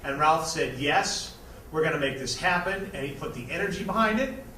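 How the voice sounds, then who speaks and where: A man with an American accent giving an informal, halting thank-you speech as host to a live audience. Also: fairly high pitch, expressive, pushed and bright. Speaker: theater project organizer and host. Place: indoor venue before a live audience. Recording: echoey venue, distant mic, noisy audience.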